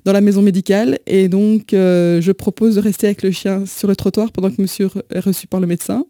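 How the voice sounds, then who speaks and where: Very mellow, soft-edged woman guest. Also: mid-range voice, no room sound, studio quality. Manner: hesitant, often repeating words. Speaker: interview guest, association volunteer. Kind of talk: personal anecdote and explanation in an interview.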